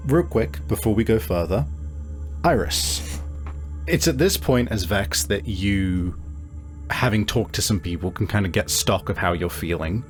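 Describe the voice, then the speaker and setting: Low-voiced man running a tabletop game. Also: a little slow, faint music behind. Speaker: game master.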